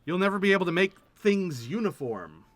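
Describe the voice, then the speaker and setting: A man's voice: high voice, animated, a little fast, faint music behind. A man chatting casually as a streamer, emphatic and repeating himself to make his point. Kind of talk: casual livestream chat.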